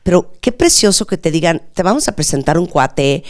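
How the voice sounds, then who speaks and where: A woman's voice: fairly low pitch, animated, normal speed, a little mellow. A middle-aged Mexican woman hosting a chat, teasing and exclamatory. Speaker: TV host. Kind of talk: lively playful chat.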